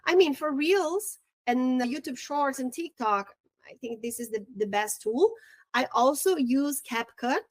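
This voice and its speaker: A woman's voice: high-pitched, animated, unhurried, no room sound. A woman with a Brazilian accent, Portuguese her first language, giving a casual, upbeat training on tools, often restarting her words. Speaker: real estate trainer.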